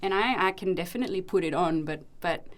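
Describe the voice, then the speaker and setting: A woman's voice: lowish voice, fast. Reflective Australian-accented young woman. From Indonesia. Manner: reflective, many ums and restarts. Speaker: podcast co-host. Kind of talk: casual conversation.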